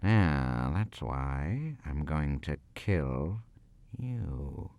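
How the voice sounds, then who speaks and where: Casting: male actor as menacing small-time killer, rock-bottom pitch, boastful and stammering, for a dramatic monologue. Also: very animated, extremely slow, gravelly, a little mellow, dry recording, full character voice. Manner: stammering, slangy, self-justifying.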